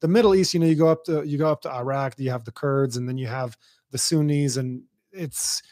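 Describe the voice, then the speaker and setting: A man's voice: mid-range voice, a little fast, a little mellow, dry recording, studio quality. A man from Lebanon whose first language is Arabic, explaining things in casual podcast conversation, repeating himself and swearing freely. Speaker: podcast host.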